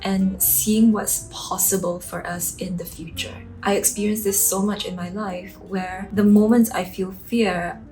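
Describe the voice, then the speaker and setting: A woman's voice: medium pitch, darkish tone. A woman in her late twenties from Singapore, earnestly sharing personal reflections in a vlog. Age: late 20s. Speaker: vlogger. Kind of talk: reflective personal talk to camera.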